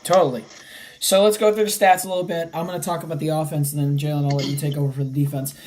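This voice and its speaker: A young man, around eighteen, hosts a casual sports podcast with a voice left slightly raspy from cheering. He runs through game stats at an easy clip. A little faint noise sits under the recording. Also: a little high, steady tone, fast, a little mellow. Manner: rattles off stats. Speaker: podcast host.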